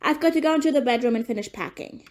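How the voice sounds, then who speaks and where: Prickly, defiant mother, played by a woman, very high voice, blunt and dismissive audio-play delivery. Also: fast, over music, natural voice. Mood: brusque. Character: crusty, irritable, defiant mother in danger. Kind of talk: amateur audio play acting.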